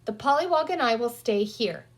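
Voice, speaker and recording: A woman's voice: on the high side, expressive, moderate pace, slightly rough. A woman voicing a bossy, resourceful young girl in a children's story, talking emphatically as she reasons aloud. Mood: decisive. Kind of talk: children's storybook character reading.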